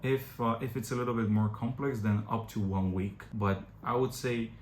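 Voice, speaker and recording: A young man from Bulgaria whose first language is Bulgarian, speaking in English as an agency founder who gives direct, practical advice to camera and often pauses on "uh". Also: medium pitch, steady tone. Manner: direct, advisory, frequent "uh" fillers. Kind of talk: informal explainer to camera. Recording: echoey room with faint background noise.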